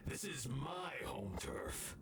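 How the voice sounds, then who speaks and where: An actor playing a smug, villainous crook in a video game, gloating and taunting. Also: male voice, mid-range voice, lively intonation, takes it slowly. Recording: echoey, distant, noisy game audio.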